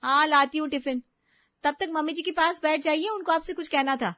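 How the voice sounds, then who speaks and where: A woman's voice: high-pitched, fairly quick, dry recording, muffled recording, voice pushed up, lightly acted. Patient, burdened young housewife, voiced by a woman, dry sound. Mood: gentle, weary. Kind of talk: dramatised dialogue in an audio story.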